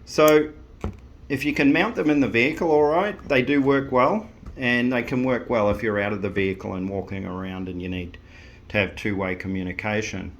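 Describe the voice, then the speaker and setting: A man's voice: mid-range voice, normal speed, muffled recording, slight background noise. A man talking casually to camera about fitting an intercom into his vehicle, plain-spoken and rambling with frequent restarts. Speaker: vehicle owner showing an intercom install.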